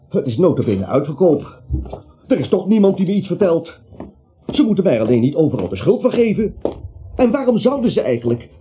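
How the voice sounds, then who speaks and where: An actor in a Dutch radio play, playing a bewildered, grumbling everyman with a husky voice and hesitant, plaintive questions. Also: on the high side, warm tone, heavily acted. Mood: grumbling, fretful. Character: bewildered, anxious, grumbling everyman dragged into a manhunt. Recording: dull, muffled radio play recording.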